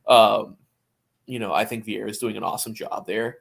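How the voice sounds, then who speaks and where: A man's voice: fairly low pitch, fairly even intonation, normal speed, vocal fry, slightly rough. A man co-hosting a sports podcast in casual, opinionated conversation, often restarting his phrases. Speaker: soccer podcast co-host.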